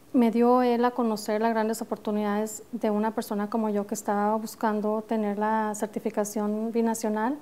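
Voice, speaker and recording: A middle-aged Mexican woman, an architect and studio guest, telling her story with lively determination, with a northern Mexican accent touched by years in the US. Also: medium pitch. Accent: northern Mexican Spanish, with some American influence. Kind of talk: TV studio interview. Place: TV studio set. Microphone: lapel.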